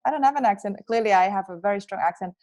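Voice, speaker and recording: A woman in her thirties with a strong Swiss accent, a guest telling her story openly in a podcast interview, quick bursts with restarts. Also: mid-range voice, darkish tone. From Switzerland. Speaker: guest, entrepreneur and author.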